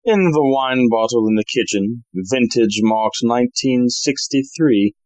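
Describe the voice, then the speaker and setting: An actor playing a suave, scheming gentleman thief in an audio play, with an unruffled, smooth-talking manner. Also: medium pitch, measured pace, no echo, lightly acted.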